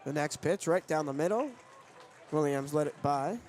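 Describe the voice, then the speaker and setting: High-voiced young man calling sports. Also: moderate pace, darkish tone, slight background noise. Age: young adult. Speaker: play-by-play announcer and sports reporter. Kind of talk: sports broadcast commentary.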